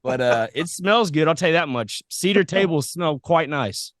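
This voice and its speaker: A man's voice: a little high, expressive, moderate pace, dry recording, clean studio sound. An American man hosting a podcast, chatting in a casual, rambling, informal way as he interviews. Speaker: podcast host. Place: podcast set.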